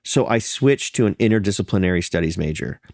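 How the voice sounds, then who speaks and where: A pastor being interviewed, talking in an earnest, storytelling way with a slightly congested, nasal sound and frequent restarts. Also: male voice, sits low, animated, quick pace, darkish tone, dry recording, studio quality. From Florida. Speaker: pastor and podcast guest. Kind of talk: conversational interview.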